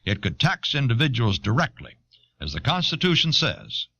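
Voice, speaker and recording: A man reading scripted documentary narration in a measured, explanatory manner, on an old cassette recording. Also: medium pitch, wildly swooping pitch, vocal fry, pushed and bright, muffled recording. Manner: measured, expository.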